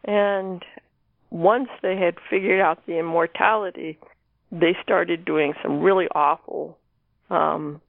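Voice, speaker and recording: A woman's voice: on the low side, fairly even intonation, takes it slowly, vocal fry, no room sound. A woman author being interviewed, talking casually about her own work. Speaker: guest author discussing own novel. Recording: narrow phone-like line.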